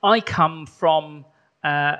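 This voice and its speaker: Man from rural wales, welsh native, bishop, chatty sermon, gravelly, slightly high voice. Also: very slow. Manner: warm, conversational preaching with frequent ums. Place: church.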